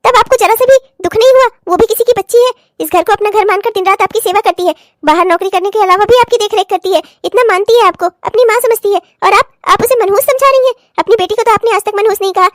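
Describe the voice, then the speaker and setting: Casting: woman as no-nonsense relative in a family drama, high voice, righteous and cutting. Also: quick pace, few pauses, no room sound, clean studio sound. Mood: indignant, sarcastic. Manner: emphatic, sarcastic, lecturing. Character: stern, righteous woman in-law exposing the family's hypocrisy. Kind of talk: melodramatic family story acting.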